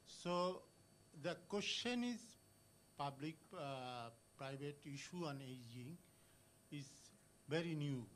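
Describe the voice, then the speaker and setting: A man from Bangladesh speaks from the floor of a conference, stopping often to restart his sentences. His voice sits a little high. His words come unevenly, with many fillers. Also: lively intonation, slow, a bit bright, a little hiss or hum. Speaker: audience member at a conference. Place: conference hall.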